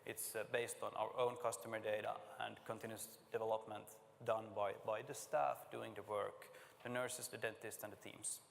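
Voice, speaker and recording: Gravelly-voiced man in a reverberant hall. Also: on the low side, little pitch movement, slight background noise. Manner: plain-spoken, informal, with filler pauses. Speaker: conference presenter. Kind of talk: conference talk and Q&A. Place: large conference hall. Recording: echoey conference hall.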